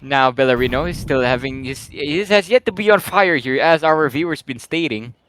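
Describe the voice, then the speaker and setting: A man with a slightly croaky voice, calling live game action in a rambling, excitable play-by-play. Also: a little high, normal speed, slight background noise. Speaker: esports caster.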